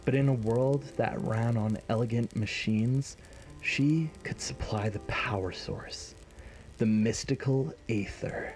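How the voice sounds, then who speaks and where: A man's voice: medium pitch, darkish tone. A man narrating a scripted fantasy story in an audio drama.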